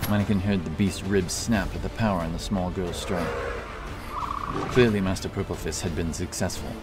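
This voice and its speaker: Man, fantasy story narrator, very low voice, steady, loud music behind. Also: moderate pace.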